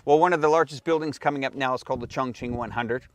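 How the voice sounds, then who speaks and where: A man's voice: medium pitch, fast talker. A man hosting a casual live stream, asking questions and commenting in an informal, rambling way. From Canada. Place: outdoors on the Great Wall. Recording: noisy outdoor live stream.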